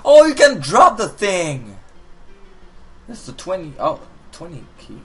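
A man's voice: sits high, unhurried, slightly rough, soft background music. A man casually commenting while he plays a puzzle game, reading the hints aloud and joking as he goes. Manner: animated, reads on-screen hints aloud, jokey outbursts. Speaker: gaming video host. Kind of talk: casual let's-play commentary.

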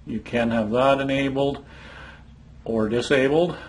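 A man's voice: medium pitch, steady tone, a little slow. A man giving a plain, step-by-step walkthrough of a monitor's setup screens, speaking from behind a handheld camera in an indoor room. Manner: plain, instructive, some fillers and restarts. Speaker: product trainer.

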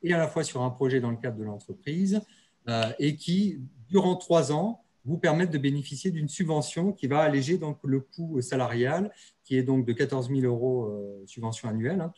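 A man's voice: medium pitch, moderate pace, dark tone. Hesitant man giving a conference talk. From France. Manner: hesitant, many fillers and restarts. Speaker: university research partnership officer. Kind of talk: conference presentation.

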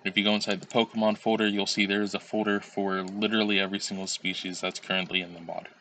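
Low, flat-voiced man with a stuffy cold. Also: fast, slight background noise, poor audio. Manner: relaxed, step-by-step, with frequent um and uh. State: recovering from a cold, a little nasal. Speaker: tutorial creator. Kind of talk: screen-recorded software tutorial.

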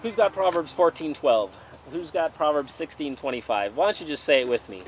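A man's voice: fairly high pitch, lively intonation, a little fast, a little hiss or hum. A man in his forties, a pastor leading an outdoor sermon and Bible study, reading passages aloud and then preaching in a conversational way, recorded by a camera at a distance outdoors under a tent canopy.

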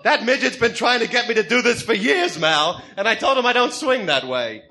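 A man's voice: high voice, expressive, fairly quick, sharp tone. A man playing a manic, sleazy clown villain with a giggly, mocking, theatrical delivery in a comic radio drama. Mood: giddy, mocking.

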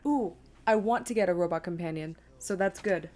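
A woman's voice: lowish voice, a little fast, darkish tone. Self-deprecating woman streaming a video game. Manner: self-deprecating, exasperated asides. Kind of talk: casual live gameplay commentary, thinking aloud.

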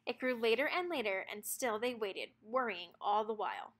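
A woman narrating a children's storybook aloud in an expressive read-aloud style. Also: on the high side, normal speed.

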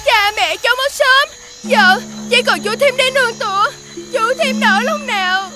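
Crafty orphan boy trickster for a cartoon, piping high voice, wheedling, loud music behind. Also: unhurried. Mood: pleading. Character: cunning orphan village boy, lying trickster, playing pitiful. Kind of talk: animated fairy-tale cartoon acting.

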